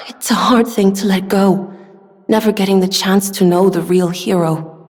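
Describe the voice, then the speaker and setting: Actress doing a soft-spoken wistful young weapons smith girl for a video game, polite and earnest, big reverby hall. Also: mid-range voice, fairly even intonation, unhurried, natural voice. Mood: sad, resigned.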